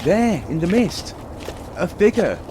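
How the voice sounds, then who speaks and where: A man's voice: high voice, big pitch swings, slow, noisy background, heavily acted. A man playing a nervous, fearful rider keeping lookout in a radio play. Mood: alarmed.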